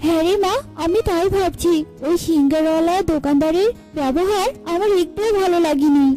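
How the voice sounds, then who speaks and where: A principled mother bird voiced by a woman from a children's cartoon. Her voice is extremely high, and she talks in a chatty, motherly, earnest way. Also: brisk, music in the background, rough recording. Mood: resolved. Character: kind, principled mother bird back from the city.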